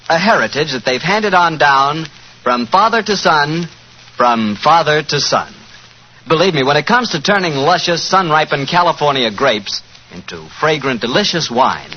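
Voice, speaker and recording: Bright, animated American radio announcer man. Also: a little high. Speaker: announcer and host. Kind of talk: 1940s radio announcing and sponsor pitch. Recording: muffled, noisy 1940s radio recording.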